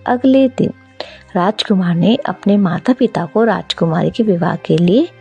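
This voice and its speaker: A woman's voice: lowish voice, lively intonation, a little slow, gravelly, warm tone, husky, faint music behind. A woman reading scripted narration for a cartoon fairy tale.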